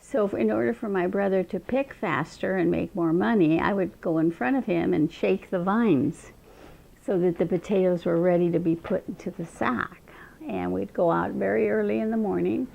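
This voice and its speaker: A woman's voice: mid-range voice, vocal fry, a little mellow, highs cut off. An older woman, a state representative, unhurriedly recalling her childhood in an oral history interview. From Montana, raised in Eastern Washington. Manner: reflective, unhurried storytelling with frequent restarts.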